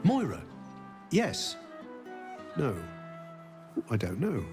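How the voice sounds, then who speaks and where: A man's voice: medium pitch, huge pitch swings, very slow, full character voice. An actor playing a confused, cheerful well-to-do man with lost memories in a video game, rambling absent-mindedly. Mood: confused, wistful. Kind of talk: video game character dialogue. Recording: music behind the voice.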